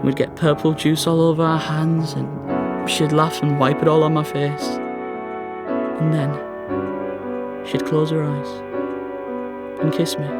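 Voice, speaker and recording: An actor playing a sickly, self-pitying teenage schoolboy with a North East English accent in an audio drama monologue, glum and sardonic. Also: male voice, fairly high pitch, little pitch movement, unhurried, long pauses, loud music behind the voice. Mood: wistful, weary. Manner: glum, complaining, melodramatic.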